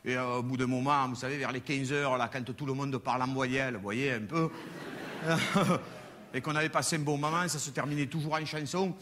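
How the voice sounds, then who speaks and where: Crude Marseille comedian on stage. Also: male voice, medium pitch, a little fast, background noise. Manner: crude, animated storytelling with swearing. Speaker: stand-up comedian. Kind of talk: stand-up routine. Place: stage before a live audience. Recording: live hall with long reverb.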